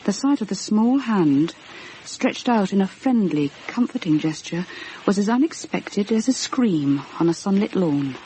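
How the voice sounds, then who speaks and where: A woman's voice: medium pitch, expressive, a little slow, dark tone, a little hiss or hum, lightly acted, low-quality recording. A woman playing an earnest, troubled narrator of a ghost story, in an intense, literary radio drama style. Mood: uneasy recollection. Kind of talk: dramatic first-person narration and radio acting.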